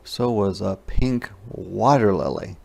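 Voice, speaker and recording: A man narrating a children's picture book aloud in a deliberate, expressive storytelling style. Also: fairly low pitch, vocal fry. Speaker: story reader.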